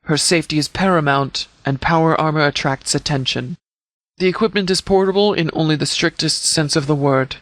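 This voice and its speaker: Game role: stern male military commander, acted by a man, formal and measured, slightly high voice, dry sound. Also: fairly bright tone, no echo, natural voice. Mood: commanding. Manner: formal, measured, authoritative diction. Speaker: video game character voice. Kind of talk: scripted game dialogue, mission briefing.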